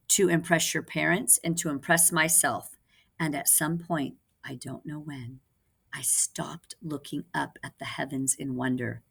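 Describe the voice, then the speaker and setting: A woman's voice: sits low, vocal fry, pushed and bright, full character voice. A woman voicing a wistful old male sea captain's letter in a storybook, solemn, formal and full of regret.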